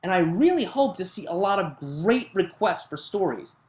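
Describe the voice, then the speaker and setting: A narrator reading fan fiction aloud in an animated storytelling style. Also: medium pitch, normal speed, breathy edge.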